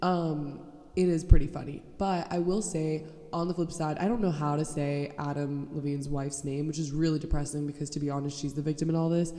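A woman's voice: low voice, fairly even intonation, a little fast, dark tone. A young woman hosting her own podcast, nasal from a cold, talking in a blunt, sarcastic, swearing rant. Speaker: podcast host. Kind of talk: casual solo podcast rant. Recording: echoey roomy sound, mic held wrong.

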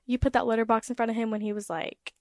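A teenage high school girl, a guest in a casual interview, chatting with frequent fillers. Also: level tone, fast talker, dry recording, clean studio sound. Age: teens.

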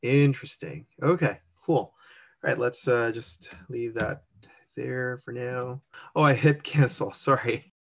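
Man, chatty, thinking aloud, casual walkthrough, mid pitch. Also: measured pace, creaky. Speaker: co-host walking through an online immigration form. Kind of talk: casual screen-share walkthrough.

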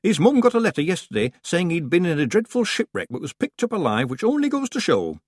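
A man voicing a middle-aged village woman who chatters in a shrill, fluttery way. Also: very animated, fast, slightly rough, no room sound, studio quality, full character voice. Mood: gossipy.

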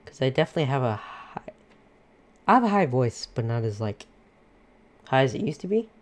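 A man's voice: mid-range voice, very animated, fairly quick. A young man co-hosting a casual podcast, teasing his friends, laughing often and cussing freely. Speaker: podcast co-host. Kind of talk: casual chat among friends.

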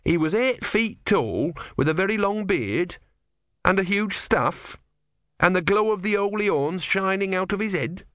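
A man's voice: high voice, expressive, a little slow, a bit bright, no room sound, clean studio sound, voice pushed up. A man voicing an earnest, devout young believer who is insistent and easily outraged, in a storybook reading. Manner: insistent, indignant, pious.

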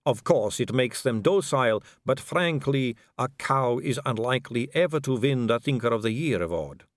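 A man's voice: medium pitch, animated, dry recording, studio quality, close to an everyday voice. Man voicing a silky aristocratic lady vampire. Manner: slow, silky, languid delivery. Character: suave, poised aristocratic female vampire.

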